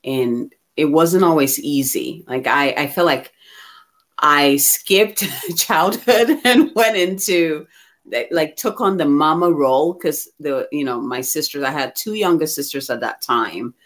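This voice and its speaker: A woman from Ghana talking candidly and reflectively about herself as a guest, in an expressive conversational way. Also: sits low, unhurried. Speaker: guest receiving a card reading.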